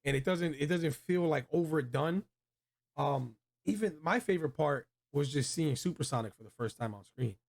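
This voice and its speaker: A man with an American accent and a voice a bit above average in pitch. He hosts a relaxed film review, speaking in loose slang with plenty of enthusiasm. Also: normal speed, gravelly. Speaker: host of a movie review show. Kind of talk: casual chat, film review.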